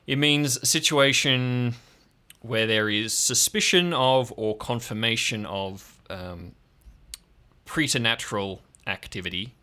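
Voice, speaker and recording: A man's voice: medium pitch, slow, bright, forceful tone. A man voicing an abrupt, authoritative female park ranger chief in an improvised audio drama. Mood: guarded, conceding.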